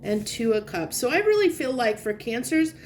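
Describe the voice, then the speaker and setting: A stuffed-up, sniffly woman talks through a tarot reading in a loose, wandering way. She circles back on her thoughts and offers gentle reassurance. A faint low rumble sits underneath her voice. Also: big pitch swings, normal speed. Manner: meandering, searching for words, gentle reassurance. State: congested, sniffly. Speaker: tarot reader. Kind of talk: casual tarot reading to camera.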